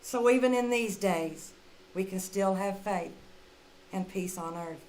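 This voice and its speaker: A woman playing a brisk, kindly lady in the style of 1940s radio drama. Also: medium pitch, a little mellow, a little hiss or hum, natural voice, poor audio. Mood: earnest, hopeful. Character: Charlotte, brisk kindly woman, scolding then hopeful. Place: live community theater stage performance.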